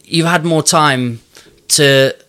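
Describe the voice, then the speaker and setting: Podcast host guy, bright clear voice, chatty, digs in with questions. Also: on the high side, slow pace. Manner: chatty, probing, thinks aloud. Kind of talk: casual interview chat.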